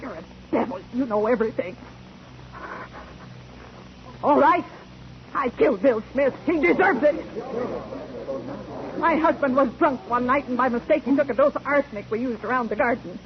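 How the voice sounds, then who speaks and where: An actress playing a defensive, overwrought boarding house widow in a 1940s radio melodrama. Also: female voice, sits high, animated, normal speed, pauses often. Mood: distraught. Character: cornered, defiant widowed female boarding house keeper with guilty secret. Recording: dull, hissy 1949 radio recording.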